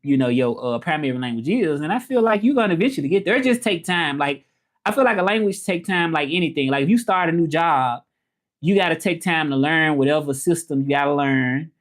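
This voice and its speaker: A man's voice: a little high, animated, a little fast. A man with a Memphis Southern American accent, chatting informally as a livestream host in a loose, rambling way and repeating himself. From Memphis, southern United States. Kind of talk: casual livestream chat about learning languages, reading comments.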